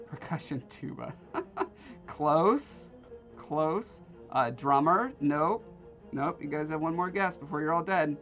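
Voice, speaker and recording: A man's voice: fairly high pitch, expressive, slow pace, a bit bright, faint music behind. A man from Alaska hosting a livestream, chatting casually and joking with his viewers while he carves. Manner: rambling, joking with live chat. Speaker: livestream host. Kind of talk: casual chat while carving.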